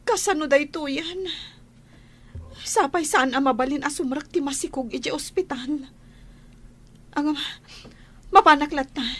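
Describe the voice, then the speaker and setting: A woman acting in a radio drama as an anxious but determined young pregnant wife. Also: high-pitched.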